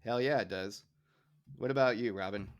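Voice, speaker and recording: A man's voice: lowish voice, normal speed, no room sound, clean studio sound. A man hosting a podcast in a casual, conversational style. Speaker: podcast host.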